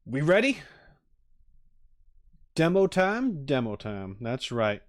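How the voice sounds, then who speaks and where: A man's voice: mid-range voice, sing-song, slow pace, no echo. A man streaming casual reaction commentary, rambling expressively and thinking aloud into a close mic. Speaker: streamer reacting to game videos.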